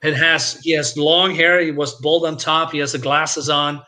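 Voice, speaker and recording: A man's voice: on the high side, flat delivery, moderate pace, sharp tone. A man chatting casually as a podcast co-host, enthusiastic and swearing freely. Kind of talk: casual chat.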